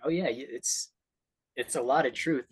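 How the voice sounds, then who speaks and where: A man's voice: medium pitch, normal speed, over a noisy crowd. A man giving casual motivational advice in an earnest, encouraging way as a guest speaker.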